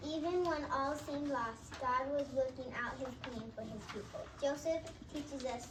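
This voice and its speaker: Female narrator, high voice, amateur scripted reading, noisy echoing room. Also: moderate pace. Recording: distant echoey room, noisy.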